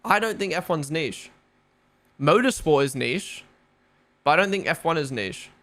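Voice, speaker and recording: A man's voice: fairly high pitch, animated, moderate pace, fairly bright tone. A young man with a New Zealand accent, rambling casually as a live stream host, full of fillers and swearing. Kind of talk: casual live watch-along chat.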